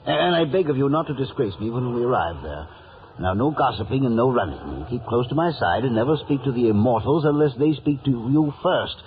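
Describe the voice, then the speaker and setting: An actor playing a pompous, bookish, condescending gentleman who blusters and stammers when flustered, in 1950s radio drama style. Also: medium pitch, very animated, fairly quick, a little hiss or hum. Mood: pompous scolding. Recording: old radio broadcast, narrow band, music behind.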